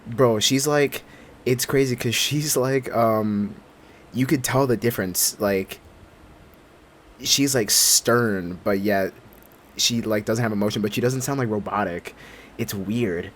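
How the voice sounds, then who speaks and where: A man's voice: fairly low pitch, a little slow, a little hiss or hum. A man giving casual, slangy, profane reaction commentary as a gaming streamer. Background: loud game music.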